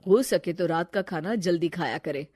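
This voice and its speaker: A woman voicing a calm doctor who gives sober, measured advice in a cartoon family story. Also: lowish voice, lightly acted. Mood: calm, advising.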